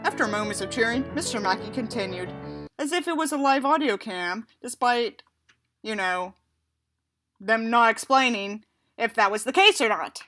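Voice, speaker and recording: A woman's voice: on the high side, animated, normal speed, fairly bright tone, faint music behind. A woman reading a book aloud and adding sarcastic, exasperated, emphatic asides of her own. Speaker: audiobook reader and commentator.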